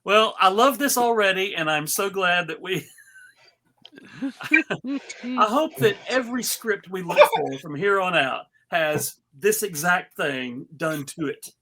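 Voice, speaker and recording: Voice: medium pitch, lively intonation, slow pace, sharp tone, slight background noise. A host narrating a casual live script reading and chatting with a wry, joking manner. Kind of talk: casual live table read with chat.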